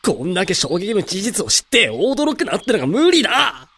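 An actor playing a hot-headed young male werewolf raised among humans, talking in rough, boyish casual speech in a voice drama. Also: mid-range voice, big pitch swings, moderate pace, fairly bright tone, exaggerated voice. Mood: indignant, startled. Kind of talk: Japanese amateur voice drama acting.

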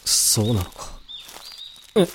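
An actor playing a hot-headed young male werewolf raised among humans, talking in rough, boyish casual speech in a voice drama. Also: slow, slight background noise, natural voice. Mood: indignant, startled. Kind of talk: Japanese amateur voice drama acting.

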